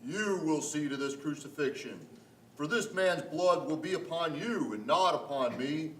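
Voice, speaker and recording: Man acting a slow, forceful Roman governor. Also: on the high side, lively intonation. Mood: stern, resigned. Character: weary, indignant Roman governor disowning blame. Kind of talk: dramatic Bible reading on stage. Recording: roomy live hall with background noise.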